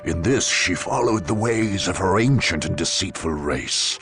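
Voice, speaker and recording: An actor playing a grave war chronicler, narrating a game in a solemn, theatrical, deliberate manner. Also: male voice, low-pitched, animated, creaky, over music, heavily acted. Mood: grave, scornful. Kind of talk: dramatic scripted game narration.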